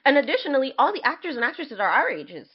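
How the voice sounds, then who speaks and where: Twenties woman, high lively voice, casual podcast co-host, emphatic, repetitive for stress, slightly dull recording. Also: fast talker. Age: 20s. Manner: emphatic, repeats points for stress. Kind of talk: casual conversational discussion.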